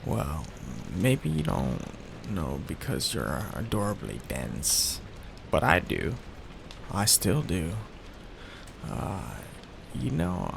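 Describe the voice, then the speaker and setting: A man plays an awkward, devoted young mafia heir in an audio roleplay, slow and gravelly, his pitch rising and dipping a lot as he fumbles for words. Steady rain patters clearly behind him. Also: noisy background, full character voice. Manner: hesitant and sheepish, with fillers and restarts. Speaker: ASMR roleplay voice actor. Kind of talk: ASMR roleplay.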